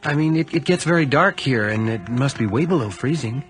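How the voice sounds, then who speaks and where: Casting: eerie, lonely young woodsman for radio horror, male actor; medium-pitched, very varied intonation, evasive and coaxing. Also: expressive, a little fast, close to an everyday voice. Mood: quietly insistent. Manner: terse, evasive, persuasive. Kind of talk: 1980 horror radio drama acting. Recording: noisy radio drama recording with clicks.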